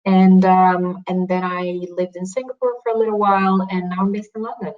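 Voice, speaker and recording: A woman from Bulgaria chatting casually as a webinar panelist, relaxed and playful. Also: on the low side, a little fast, recorded from a distance, muffled recording.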